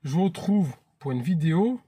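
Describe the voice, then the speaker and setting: A man's voice: a little high, expressive, normal speed, dark tone, a little hiss or hum. A man in his thirties from France, hosting his own channel in an earnest, chatty vlog monologue that often restarts phrases. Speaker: YouTube channel host.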